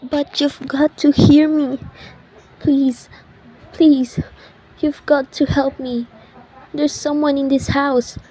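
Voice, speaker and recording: Woman acting a frantic high-voiced lady in distress. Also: level tone, takes it slowly, long pauses, rough recording. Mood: panicked pleading. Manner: imperious, breathless pleading, hushed when afraid. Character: frantic, demanding, terrified wealthy housebound woman. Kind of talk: old radio thriller acting.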